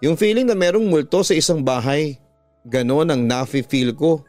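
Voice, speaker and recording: A man's voice: a little high, moderate pace, darkish tone, no echo, soft background music, natural voice. Man voicing an uneasy, earnest Filipino schoolgirl. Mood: insistent. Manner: earnest, cautioning. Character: uneasy schoolgirl warning her friend of ghosts.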